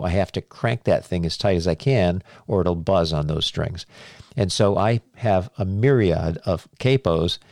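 A man's voice: low voice, a little mellow, dry recording, studio quality. An older man interviewing a musician, chatting in long, anecdotal questions. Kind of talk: casual interview.

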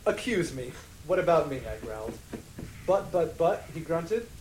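A male podcast co-host reads fan fiction aloud with mock-serious theatrics. His voice sits a little high and moves in lively swings. There is faint noise in the recording. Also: moderate pace, low-quality recording. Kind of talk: dramatic comic reading of fan fiction.

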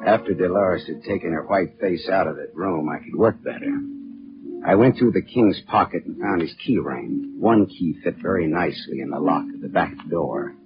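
An American actor playing a wry, tough private detective in a 1940s radio drama, terse and deadpan. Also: male voice, low voice, moderate pace. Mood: grim, wry narrating. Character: wry, tough male private detective narrating his case. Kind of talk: 1940s radio drama acting, hardboiled narration. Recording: dull old 1940s radio, music behind.

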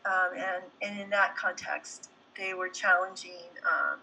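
A woman speaking conversationally as an interview guest, in a medium-pitched voice. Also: level tone, a little slow, pushed and bright. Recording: distant mic under guitar music. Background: plucked guitar music.

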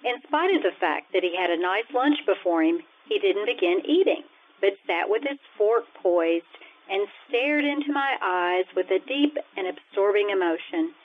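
On a tinny, band-limited recording, a woman acts out a wisecracking female schoolteacher from an old radio sitcom. She delivers everything dryly and sardonically, at a middling pitch. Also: lively intonation, normal speed, close to an everyday voice. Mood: dry, wry. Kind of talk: old-time radio sitcom acting and narration.

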